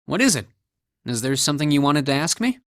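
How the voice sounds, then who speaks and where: A nice young student dude from a game, polite, voice moves up and down a lot, dry studio sound. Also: male voice, on the high side, very animated, a little fast, no room sound. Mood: puzzled, earnest. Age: young adult. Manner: polite, apologetic, friendly. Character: earnest, easygoing young male military academy student. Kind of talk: English anime-style game voice acting.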